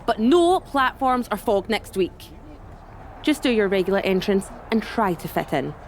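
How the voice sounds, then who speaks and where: A woman's voice: mid-range voice, lively intonation, normal speed, a little hiss or hum, natural voice. A woman voicing a scornful, exasperated coven leader in a wrestling video game, with a sardonic, scolding manner. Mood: grudging. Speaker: voice actor in a video game cutscene.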